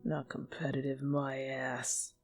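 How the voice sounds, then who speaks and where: A woman voicing a gruff, foul-mouthed, hot-headed young man who grumbles scornfully, in a fan fiction reading. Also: rumbling low, monotone, takes it slowly, faint music behind, pitched below the performer's own voice, heavily acted. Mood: sulky.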